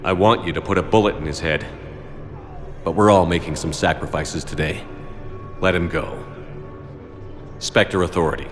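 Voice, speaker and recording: A man voices a stern sci-fi game commander with a very low voice. He talks in curt, dry, commanding phrases, with faint music behind him. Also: fairly even intonation, normal speed, pauses often, lightly acted. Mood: cold, firm. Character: stern, curt, authoritative male military commander of a spaceship. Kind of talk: video game voice acting.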